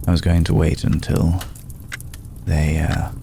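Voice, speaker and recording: A man voicing an ancient, courtly, protective vampire lord in a hushed ASMR roleplay, speaking formally and with deliberate pauses. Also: a bass voice, level tone, vocal fry, a little hiss or hum, exaggerated voice. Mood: grave, confiding. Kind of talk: ASMR roleplay, slow intimate scripted monologue.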